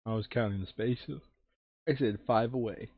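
A man's voice: mid-range voice, moderate pace, no room sound. A man chatting casually and jokingly as he plays a tabletop game with a friend. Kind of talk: casual gameplay chat. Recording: slightly dull, dry close recording.

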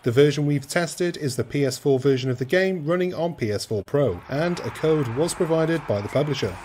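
A man's voice: a little high, brisk, noisy background. A man from rural Wales, reading a scripted video game review with dry, cheeky humour.

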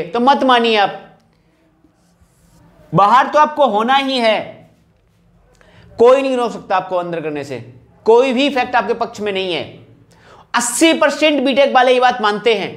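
High-pitched man, insistent. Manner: insistent, repeating rhetorical questions and appeals. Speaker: exam coaching teacher and channel host. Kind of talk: informal update talk addressed to exam candidates.

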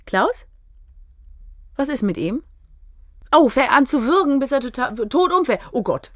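A woman's voice: high-pitched, very animated, measured pace, dry recording. A voice actress in outtakes, switching between acted lines and casual asides. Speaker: voice actress in an audio drama.

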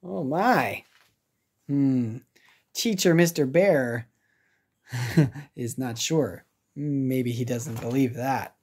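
Slow, low-voiced American woman reading aloud. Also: slightly rough. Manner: expressive, simple reactions and explanations for young learners. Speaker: English teacher reading a picture book aloud. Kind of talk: animated children's read-aloud with asides.

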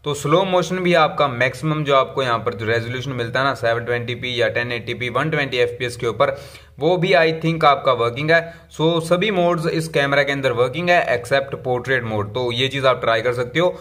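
Male tech vlogger, relaxed chatty voice-over, mid pitch, switches freely into English terms. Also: moderate pace. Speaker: tech reviewer. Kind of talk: casual tech review walkthrough.

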